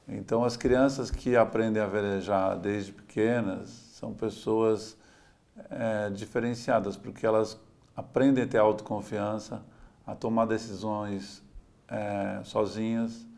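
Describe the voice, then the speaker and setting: A man's voice: fairly low pitch, vocal fry, darkish tone. A man from Santos, Brazil, a sailor talking in an interview in a reflective, storytelling way. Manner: reflective, tells stories with small laughs and "né?". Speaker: sailor and adventurer. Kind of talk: relaxed interview talk.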